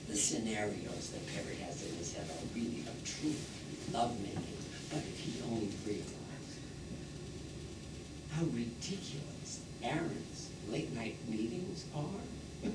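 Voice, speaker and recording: In a distant, echoing theatre recording, an actor plays a dead man looking on at the living with worldly mockery. He speaks slowly and theatrically, swinging his pitch for wry, arch emphasis. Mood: mocking pity. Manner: arch, amused, dramatic phrasing. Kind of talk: theatrical stage monologue. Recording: echoey, distant, noisy live theatre recording.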